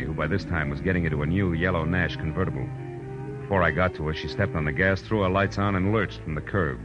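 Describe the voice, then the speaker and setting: Wisecracking American gumshoe male actor, deep and punchy, vintage radio drama, dull band-limited sound with music. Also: close to an everyday voice. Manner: wry, punchy, tough. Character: wisecracking hard-boiled male private detective. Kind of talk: 1940s radio acting, first-person narration. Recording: muffled old radio broadcast with music.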